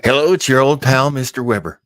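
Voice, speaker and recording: A man's voice: mid-range voice, a little fast, a bit bright, dry recording, studio quality. A man hosting a live stream about old-time radio, chatting in a rambling, enthusiastic, theatrical way. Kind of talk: casual live stream chat.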